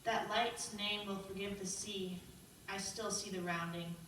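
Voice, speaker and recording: A woman's voice: mid-range voice, steady tone, measured pace, a bit bright, a little hiss or hum. A woman at a table read reciting experimental poetry aloud. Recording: very echoey room, distant mic, background music.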